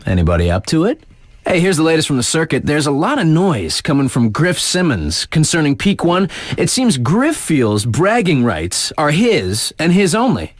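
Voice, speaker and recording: A man's voice: a little high, wildly swooping pitch, moderate pace, no room sound, clean studio sound. A man playing a cool, cheeky young radio DJ in a video game, delivering conversational sports-circuit patter with laid-back hype and teasing asides. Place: dry studio booth.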